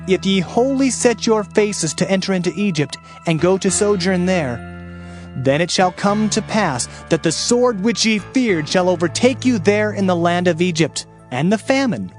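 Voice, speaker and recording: Man acting a solemn, declamatory prophet, animated pitch. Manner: declamatory, measured, grave. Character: solemn male prophet delivering God's warnings. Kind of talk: dramatized scripture reading.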